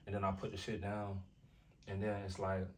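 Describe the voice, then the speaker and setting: A man's voice: low voice, monotone, normal speed, a little mellow, distant mic. A young American man, a rapper, talking casually in an interview, laid-back and slangy with lots of filler phrases. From United States. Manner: laid-back, slangy, many "like" and "you feel what I'm saying".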